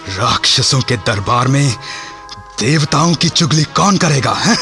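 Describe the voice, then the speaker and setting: An actor playing a defiant, unrepentant Indian revolutionary prisoner in a radio play, speaking in an impassioned, declamatory way. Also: male voice, medium pitch, expressive, unhurried, over music, close to an everyday voice.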